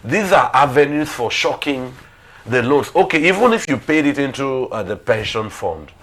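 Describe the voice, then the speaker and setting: A man with a Nigerian accent giving detailed conversational analysis on a talk show, in a halting, thinking-aloud way. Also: medium pitch, animated, a bit bright, a little hiss or hum. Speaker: current affairs talk show speaker.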